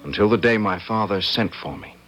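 A man's voice: on the low side, lively intonation, a little fast, fairly bright tone, soft background music, rough recording. An actor playing a meek, cheerful young man, the priest's son, who tells his own story with wry, hesitant self-mockery. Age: young adult. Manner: self-mocking, hesitant, wry first-person telling. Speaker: narrator. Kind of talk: first-person storytelling in radio drama.

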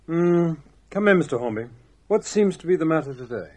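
A man's voice: on the high side, animated, fairly quick, a little mellow, slight background noise. A man narrating and playing a pompous Victorian doctor in a comic radio play. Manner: pompous, theatrical. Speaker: narrator and lead actor.

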